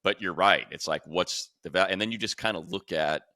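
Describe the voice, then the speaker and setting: Very deep, bright-voiced American man. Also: brisk, dry recording, studio quality. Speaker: sports podcast host, play-by-play announcer. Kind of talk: casual sports discussion.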